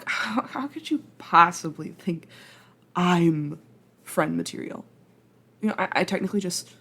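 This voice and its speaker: A woman's voice: mid-range voice, fairly bright tone, breathy edge, heavily acted. A woman playing a flustered, apologetic time traveler from the future in an ASMR roleplay, speaking hesitantly, stammering and rambling in a self-deprecating way. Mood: disbelieving. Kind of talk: ASMR roleplay acting, intimate and conversational.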